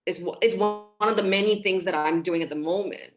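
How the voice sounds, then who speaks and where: A woman's voice: medium pitch, brisk. A woman in her thirties from Hong Kong speaks as a podcast guest on a video call from a small room. She talks in a casual, heartfelt way and gestures freely as she explains her ideas. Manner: warm, expressive, gestures while talking. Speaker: guest, relationship coach.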